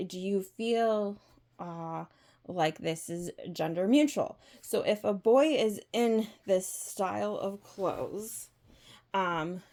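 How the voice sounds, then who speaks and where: A woman speaking off the cuff in an opinion vlog, halting and rambling, often posing rhetorical questions. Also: lowish voice, warm tone. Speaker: vlog host. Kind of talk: unscripted opinion monologue.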